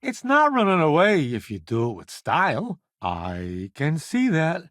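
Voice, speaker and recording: A man's voice: fairly high pitch, wildly swooping pitch, a bit bright, no echo, clean studio sound, exaggerated voice. A man playing a vain, flamboyant columnist turned space crewmate in a video game, with a wry, theatrical, smug delivery. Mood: wry, chummy. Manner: theatrical, wry, smug banter with drawn-out emphasis. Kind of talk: video game companion dialogue.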